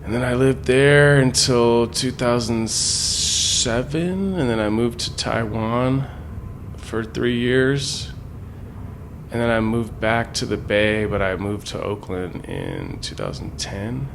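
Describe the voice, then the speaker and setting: A man's voice: medium pitch, animated, slow pace, gravelly, slight background noise. A man, talking in a loose, slangy, rambling way as an interview guest. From San Francisco Bay Area, US. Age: 40s. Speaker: interview guest, graffiti artist. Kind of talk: casual reflective interview talk.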